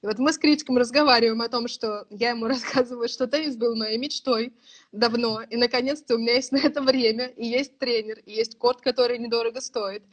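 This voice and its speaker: A woman's voice: on the high side, fairly even intonation, fairly quick. A woman in her thirties, a writing course teacher, explaining at length in casual, thoughtful conversation and laughing now and then. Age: 30s.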